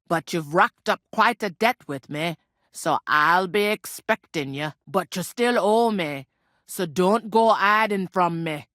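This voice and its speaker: A woman voicing a gruff, teasing medieval tavern innkeeper in a video game, with a blunt, folksy, scolding way of talking. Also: on the low side, a bit bright, no room sound, studio quality. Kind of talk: scripted game character dialogue.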